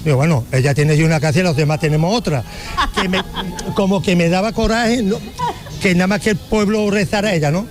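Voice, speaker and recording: A man, a beach bar waiter, answering interview questions and telling stories in a relaxed way. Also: high-pitched, a little fast, music in the background. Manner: relaxed, anecdotal storytelling.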